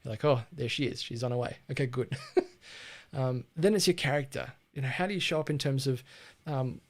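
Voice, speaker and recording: A man's voice: medium pitch, fairly quick. Laid-back Australian man. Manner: laid-back, chatty, with frequent ums and restarts. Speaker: livestream host teaching branding. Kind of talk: casual livestream talk.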